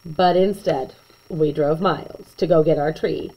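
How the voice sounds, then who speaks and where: A woman reading a children's picture book aloud in an expressive way, with chatty asides to young listeners. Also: sits low, low-quality recording. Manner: animated, slow and deliberate storytelling, chatting to young listeners.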